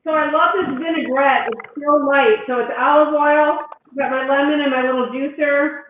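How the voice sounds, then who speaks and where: A woman's voice: high voice, fairly even intonation, moderate pace, a little hiss or hum. A woman cooking in her home kitchen on a video call, chatting and explaining each step as she goes. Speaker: guest doctor demonstrating a recipe. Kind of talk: cooking demo on a live stream. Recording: tinny echoey video-call audio.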